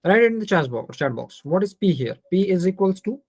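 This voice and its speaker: A man's voice: high voice, very animated, a little fast, a little mellow, slightly rough, no echo, studio quality. A man with an Indian accent teaching an interactive online maths class, working through problems aloud and often checking in with students as he switches between Hindi and English.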